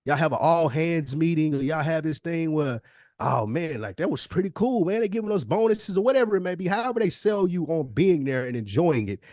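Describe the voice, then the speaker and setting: A man's voice: a little high, expressive, fairly quick, darkish tone, no echo, clean studio sound. A man in a conversational podcast discussion, explaining emphatically and posing rhetorical questions as a co-host.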